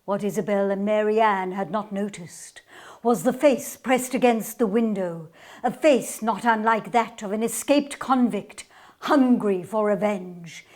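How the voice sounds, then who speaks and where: A woman performer presenting a radio play in a deliberate, theatrical storytelling style. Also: mid-range voice, a little mellow. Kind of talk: theatrical scripted narration and demonstration.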